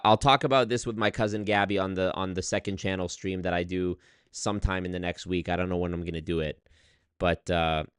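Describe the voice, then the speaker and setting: A man's voice: deep voice, smooth, no room sound. Swearing, exasperated male streamer, dry sound. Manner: animated storytelling, swears a lot, exasperated. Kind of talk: casual livestream rant.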